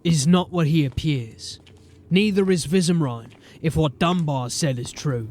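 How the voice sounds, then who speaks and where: A man acting a gruff, authoritative sergeant of a city watch in a fantasy audio drama, with clipped speech. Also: fairly high pitch, measured pace, darkish tone. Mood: brooding.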